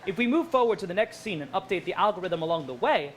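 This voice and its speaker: Man as a high-pitched, officious logical assistant. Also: lively intonation, fast talker, a bit bright, slight background noise. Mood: precise, officious. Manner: precise, formal. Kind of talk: comic stage parody acting.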